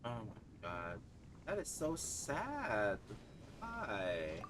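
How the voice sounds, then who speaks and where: Slow-talking male game streamer. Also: mid-range voice, huge pitch swings, very noisy. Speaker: game livestreamer. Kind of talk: casual livestream reactions to a game. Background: lo-fi music and game audio.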